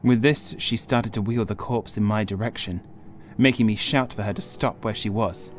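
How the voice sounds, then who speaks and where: Casting: male audiobook narrator for gothic horror, lowish pitch, suspenseful and grave delivery, music playing behind. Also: moderate pace. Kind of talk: dramatic scripted horror narration.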